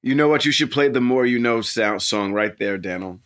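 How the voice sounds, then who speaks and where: A man's voice: medium pitch, brisk, a bit bright. A man with an American accent co-hosting a podcast in casual banter, talking in an emphatic, slangy way with some swearing. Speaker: podcast co-host.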